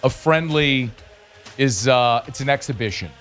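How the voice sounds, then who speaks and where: Lively teasing man hosting sports radio. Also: medium pitch, faint music behind. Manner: teasing, needling, quick back-and-forth. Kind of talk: bantering sports talk radio. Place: radio studio. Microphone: studio microphone.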